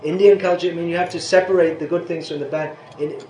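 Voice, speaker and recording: A man's voice: fairly high pitch, steady tone, fast talker, a little mellow. An older man giving an informal question-and-answer talk as a spiritual teacher, rambling and restarting his sentences, chuckling now and then. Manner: rambling, self-interrupting, chuckles at times. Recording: echoey room with faint background noise.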